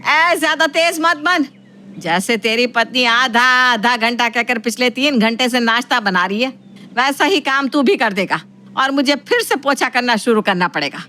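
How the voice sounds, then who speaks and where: Fussy middle-aged mother-in-law female performer, sharp high voice, domestic story, cleanliness-obsessed nag. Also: expressive, moderate pace. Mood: scolding, irritated. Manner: scolding at family, falsely polite to guests. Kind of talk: Hindi audio story acting.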